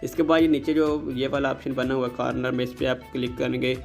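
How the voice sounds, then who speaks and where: A man's voice: mid-range voice, monotone, a little fast, creaky, darkish tone, soft background music. A man hosting a casual app tutorial, politely addressing his viewers directly as he explains each step. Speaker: host of a tech tutorial channel. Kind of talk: casual scripted-style app tutorial narration.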